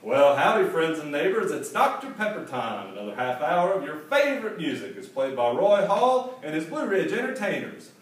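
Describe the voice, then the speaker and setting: Man putting on a folksy radio announcer, hearty booming pitch, far from the mic, roomy echo, faint hiss. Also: sing-song, normal speed, few pauses. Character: folksy old-time male radio announcer pitching soda. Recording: echoey hall, distant mic, faint hiss.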